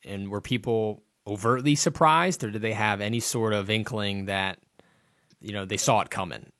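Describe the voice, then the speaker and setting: Male interviewer, low-pitched, warm-hearted questioner on a dry recording. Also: normal speed, no echo. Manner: empathetic, thinks aloud, many "right?" tags. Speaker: interviewer host. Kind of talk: conversational interview.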